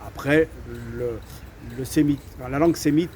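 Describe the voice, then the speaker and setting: A man's voice: fairly high pitch, steady tone, moderate pace, a little mellow. A man from France giving his opinion to camera, hesitant, with many fillers and repeated words between firm statements. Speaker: vlogger.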